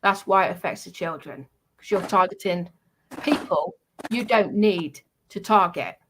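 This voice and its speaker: A woman delivering an unscripted, confrontational rant to online trolls, scolding in a halting way and repeating her points for emphasis. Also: lowish voice, background noise.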